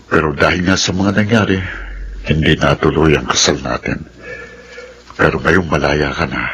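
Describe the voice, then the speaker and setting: An actor playing an earnest, devoted suitor in a Filipino radio drama, with a Filipino accent. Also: male voice, a bass voice, fairly bright tone, a little hiss or hum, exaggerated voice.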